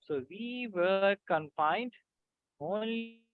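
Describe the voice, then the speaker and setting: A man's voice: sits high, animated, dry recording. Man teaching over tinny call audio. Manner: halting, many fillers, keeps checking with "right?". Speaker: physics teacher. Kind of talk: online class lecture. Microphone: video call.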